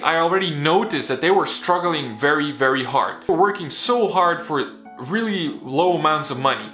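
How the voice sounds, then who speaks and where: A man's voice: fairly high pitch, sharp tone. Earnest young man in a roomy echo. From Netherlands. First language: Bosnian. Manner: earnest, candid, casually swearing. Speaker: vlogger. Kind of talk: personal motivational story.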